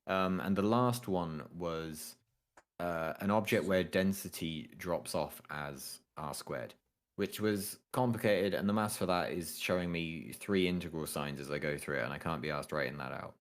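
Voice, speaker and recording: A man's voice: low-pitched, normal speed. A young man casually explaining astrophysics equations in a hesitant, offhand way, with many ums and the odd swear. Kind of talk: casual study chat.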